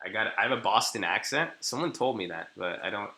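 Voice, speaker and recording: Young man, very low voice, very bright tone, echoey room, rambling crude chat, from California. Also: animated, a little fast, a little hiss or hum. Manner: rambling, crude slang, frequent restarts. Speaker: day trader streaming live. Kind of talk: casual live-stream chat.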